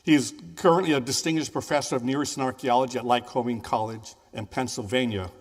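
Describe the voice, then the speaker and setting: A man in his sixties introduces the guest into a handheld mic, reading out titles in an informal, friendly way. His pitch sits in an ordinary middle range. The voice rings with the long reverb of a large hall. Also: steady tone, fast talker. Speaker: event host introducing a speaker. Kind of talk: speaker introduction. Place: large wood-paneled library hall. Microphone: handheld microphone.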